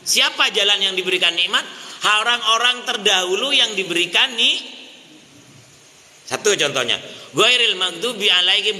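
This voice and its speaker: A man preaching a sermon, questioning his listeners and chanting verses slowly. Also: sits high, pushed and bright. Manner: addresses listeners as Pak, asks the crowd questions. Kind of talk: mosque sermon. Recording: hall reverb, faint noise.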